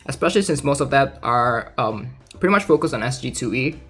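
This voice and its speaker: A man's voice: mid-range voice, expressive, fairly quick, fairly bright tone, breathy edge. A man casually reading aloud and commenting in an informal, chatty way, like a gaming content creator. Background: background music.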